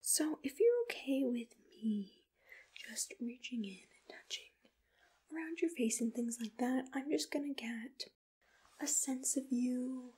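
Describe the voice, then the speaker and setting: A woman speaks in a very breathy whisper, unhurried and gentle. She plays a reassuring host in an ASMR roleplay, giving soft, attentive personal attention. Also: sits high.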